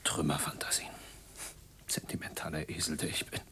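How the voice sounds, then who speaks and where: An actor playing a weary, self-mocking man in his forties, brooding in halting fragments in a literary radio drama monologue. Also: rumbling low, completely flat delivery, pushed and bright. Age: middle-aged. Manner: halting, brooding, in short fragments, often dropping toward a whisper. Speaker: monologue narrator.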